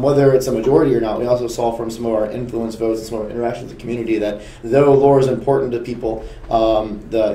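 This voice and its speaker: A man with a gravelly, injured-sounding voice, chatting candidly as a co-host on a game developer stream. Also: mid-range voice, mellow, echoey room. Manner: candid, rambling with restarts. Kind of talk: casual dev stream chat.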